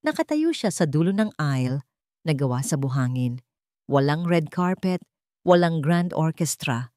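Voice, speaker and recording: A woman's voice: lowish voice, warm tone, no echo, studio quality. A woman storyteller reading a scripted love story as dramatic narration.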